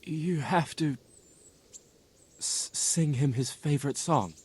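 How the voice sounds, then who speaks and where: A man playing a simple, gentle medieval stable hand in a video game, with a halting, earnest manner. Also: a little high, slightly rough. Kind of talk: video game cutscene dialogue.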